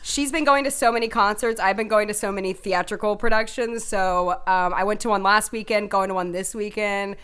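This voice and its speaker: Twenties woman co-host, bright smooth tone, playful banter. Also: medium pitch, brisk, hardly any pauses. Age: 20s. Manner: playful, giggly. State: slightly hoarse. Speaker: podcast co-host. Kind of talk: casual chat.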